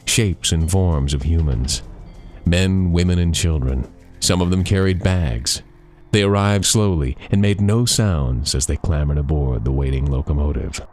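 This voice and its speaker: Very deep-voiced man telling a scary story. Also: darkish tone. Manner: suspenseful, deliberate dramatic phrasing. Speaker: storyteller reading own story. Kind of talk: dramatic scripted scary-story narration.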